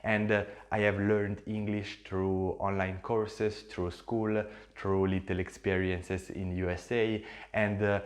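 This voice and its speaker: A man with an Italian accent talks straight to camera in English, his second language. His voice sits fairly low. He is earnest and repeats himself, with frequent fillers and restarts. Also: level tone, takes it slowly, few pauses. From Switzerland. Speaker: YouTuber and agency owner. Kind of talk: casual talk to camera.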